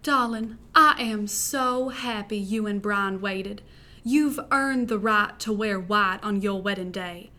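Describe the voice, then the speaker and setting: A woman's voice: mid-range voice, slow pace, bright, forceful tone. A woman doing a doting Southern mama. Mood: tender. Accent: American Southern, put on. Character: Southern mother, scolding yet doting.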